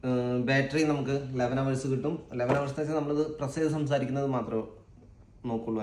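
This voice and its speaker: A man's voice: medium pitch, a little mellow. Male vlog host, Malayali accent. Informal, hesitant unboxing commentary. Roomy, echoing sound with slight background noise. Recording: echoey room with faint background noise.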